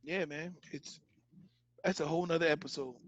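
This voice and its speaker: A man's voice: a little high, fairly even intonation, no echo, highs cut off. Joking, dry-voiced man co-host. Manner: loud joking, quick bursts of laughter. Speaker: podcast co-host. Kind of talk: casual chat.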